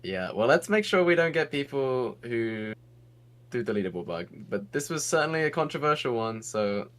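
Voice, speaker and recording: A man's voice: mid-range voice, big pitch swings, moderate pace, fairly bright tone. A young man from England giving casual online race commentary from his computer, chatty and laughing often.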